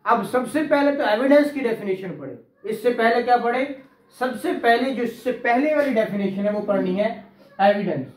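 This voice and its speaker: A man's voice: extremely high pitch, moderate pace, echoey room. A man teaching law to a class, quizzing students, repeating key terms and praising answers. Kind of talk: classroom law lecture with questions.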